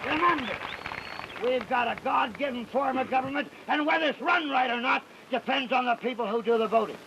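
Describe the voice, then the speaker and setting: A man's voice: very high-pitched, a little fast, creaky, noisy background. A man playing a fiery Marine colonel in a radio play, giving a blunt, folksy, emphatic speech to protesting veterans. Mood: rousing, defiant.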